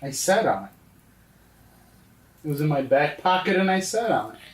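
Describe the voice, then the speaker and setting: A man's voice: on the high side, sing-song, moderate pace, far from the mic. A man playing a sheepish, casual boy in a tabletop roleplaying game. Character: sheepish boy hawking his trading cards. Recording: roomy, background noise.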